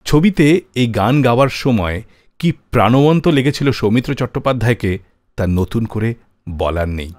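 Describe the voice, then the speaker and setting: A man's voice: mid-range voice, lively intonation, moderate pace, no echo. A man presenting a scripted music radio show as its host. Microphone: close studio microphone.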